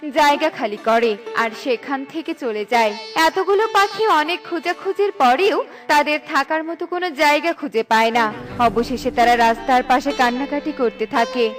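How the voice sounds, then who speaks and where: A woman with a very high voice narrates a children's story from a script. Music plays clearly behind her storytelling. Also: normal speed.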